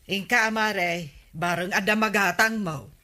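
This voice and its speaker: A bright female voice as a village market shopper with little money. The delivery is unhurried and plaintive, acted for a comedy radio drama. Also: medium pitch. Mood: plaintive, coaxing.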